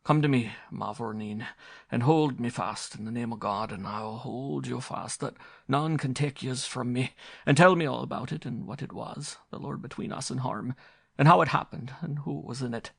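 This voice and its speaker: A man's voice: medium pitch, normal speed, creaky, slightly rough, pitched above the performer's own voice. A man reading aloud voices a frantic older Irish peasant widow, wailing and pleading in an Irish accent. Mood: grieving, pleading.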